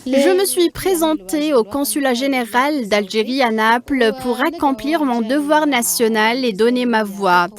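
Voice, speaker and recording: Female voice-over reader, higher pitch, measured translation delivery. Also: a crowd in the background. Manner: even, measured reading.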